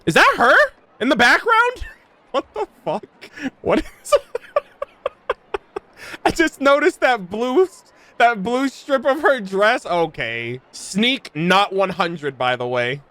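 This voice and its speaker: A woman's voice: fairly high pitch, very animated, slow pace, a bit bright. A woman streaming a game, giving casual, slangy commentary and reacting to the story.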